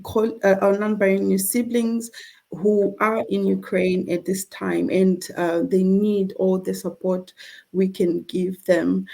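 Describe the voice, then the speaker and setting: Husky-voiced woman from Zimbabwe hosting a talk. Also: medium pitch, little pitch movement, slow pace, mellow. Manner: earnest, many fillers and restarts. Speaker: charity representative and host. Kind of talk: online panel conversation.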